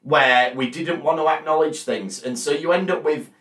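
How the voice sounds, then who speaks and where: A man's voice: a little high, moderate pace, a bit bright. A man hosting a casual podcast about TV and film, chatty, sweary and acting out quoted lines. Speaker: podcast host.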